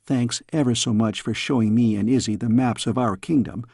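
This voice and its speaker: A man's voice: mid-range voice, fairly even intonation, normal speed, darkish tone, breathy edge, no echo, studio quality. A man voicing a headstrong, inquisitive young princess in a storybook, pert and eager. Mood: eagerly curious. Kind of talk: storybook character voice.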